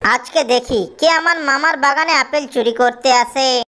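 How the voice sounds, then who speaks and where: A woman's voice: sits high, unhurried, a bit bright, clear, resonant tone. A woman voicing an eager, deferential young male bird in an animal cartoon, earnest and pleading. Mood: eager, determined.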